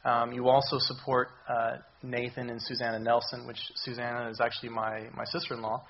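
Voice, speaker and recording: Man, hoarse voice, halting speech full of ums, church sermon, dull lo-fi sound. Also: medium pitch, completely flat delivery. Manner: halting, many ums and restarts. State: hoarse, recovering voice. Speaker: visiting missions speaker.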